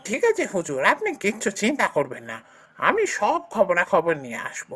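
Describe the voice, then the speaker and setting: Woman voicing eager servant man, wildly swooping pitch. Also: wildly swooping pitch, normal speed, fairly bright tone, slightly rough, heavily acted. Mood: eager, surprised. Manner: fawning, eager, exclamatory. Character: devoted, deferential male servant of a landlord. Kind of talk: cartoon fairy tale dialogue.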